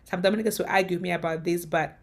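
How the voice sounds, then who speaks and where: A woman from Africa speaking in a fairly low register. She addresses her viewers in a relaxed vlog style and presses her points home by repeating them with conviction. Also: rapid-fire. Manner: emphatic, repeats points insistently. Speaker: vlogger. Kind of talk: casual talk to camera.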